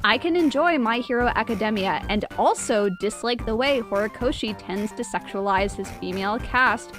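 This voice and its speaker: A woman's voice: a little high, moderate pace, a bit bright, soft background music. A woman delivering a scripted, argumentative video essay.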